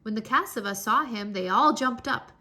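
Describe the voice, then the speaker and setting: A woman reading a children's story aloud with expressive, dramatic storytelling. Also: on the high side, normal speed. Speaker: children's read-aloud narrator.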